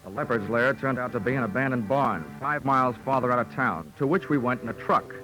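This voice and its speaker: Actor as wry hard-boiled American radio detective. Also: male voice, mid-range voice, brisk, music in the background, natural voice, poor audio. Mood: measured narration. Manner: dry wit, deadpan. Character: wry, hard-boiled male insurance investigator. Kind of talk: 1940s radio drama, first-person detective narration. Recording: old 1940s radio broadcast recording.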